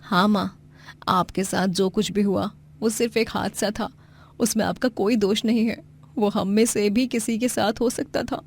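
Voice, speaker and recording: A woman's voice: mid-range voice, fairly even intonation, unhurried, gravelly, a little mellow. A woman playing brave, devoted young daughters in a Hindi moral story. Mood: reassuring.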